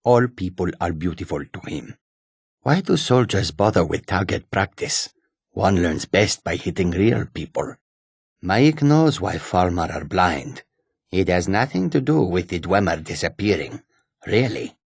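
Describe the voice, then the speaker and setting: Sly feline wanderer, husky, low-pitched, whimsical and cryptic, fantasy game dialogue. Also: male voice, very animated, no echo, clean studio sound, full character voice. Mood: wry, musing. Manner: speaks of himself in third person, deliberate, clipped phrasing. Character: male Khajiit cat-folk wanderer, whimsical, cryptic, sly. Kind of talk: video game character dialogue.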